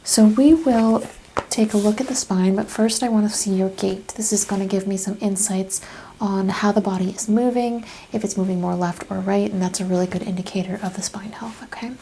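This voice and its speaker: A soft-spoken woman doing an ASMR chiropractic roleplay, giving gentle clinical questions and step-by-step instructions, often dropping to a whisper. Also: mid-range voice, steady tone, a little fast, a little hiss or hum.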